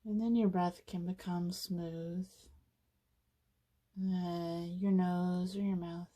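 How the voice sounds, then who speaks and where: A woman leading a guided meditation in an informal, conversational way, with soothing, casual phrasing and frequent pauses. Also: lowish voice, fairly even intonation, dark tone.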